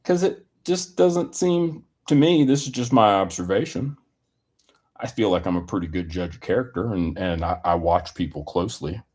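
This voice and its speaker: A man giving a rambling personal opinion monologue in a deliberate, halting manner with wordy, formal phrasing. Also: deep voice, animated, a little mellow.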